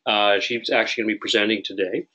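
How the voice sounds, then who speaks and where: A man with a Canadian accent hosting a webinar as client services manager, relaxed and friendly, with frequent uhs. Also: fairly low pitch, fast, lo-fi sound, a little hiss or hum. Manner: friendly, relaxed, filler-heavy. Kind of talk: webinar introduction.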